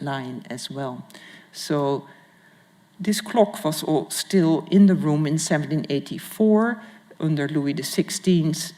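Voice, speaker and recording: A woman curator giving a public art history lecture in a formal, halting manner with careful phrasing. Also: low voice, mellow. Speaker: museum curator and guest lecturer. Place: large indoor lecture hall with audience. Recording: reverberant lecture hall.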